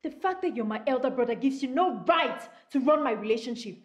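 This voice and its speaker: Casting: a woman as an indignant kid sister, high-pitched, in an echoing room. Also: lively intonation, a little fast, dull audio. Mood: furious. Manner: indignant, confronting. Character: furious younger sister, defending her boyfriend.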